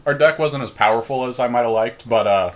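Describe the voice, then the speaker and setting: A man co-hosting a podcast, chatting casually and thinking aloud with frequent pauses. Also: medium pitch. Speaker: podcast co-host. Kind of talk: casual chat about card drafting.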